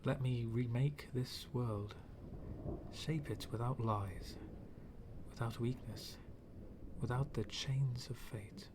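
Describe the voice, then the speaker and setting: A man playing a bitter, menacing villain in an ASMR roleplay, speaking in a brooding, dramatic, half-whispered way with long pauses. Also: mid-range voice, background noise, lightly acted. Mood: commanding, menacing. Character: fallen-world villain, male, bitter, menacing, coldly philosophical.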